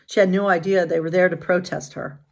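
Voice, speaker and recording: A woman pastor hosting bedtime stories, reading aloud in an informal, expressive way with casual asides. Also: fairly low pitch, fairly quick. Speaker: pastor and bedtime story host.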